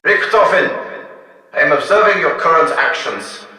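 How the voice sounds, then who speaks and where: An actor playing a stern, suspicious, pompous scientist with a formal, theatrical delivery in a video game. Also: male voice, a little high, expressive, normal speed, bright, forceful tone. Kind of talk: dramatic game voice acting. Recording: very echoey, distant hall reverb.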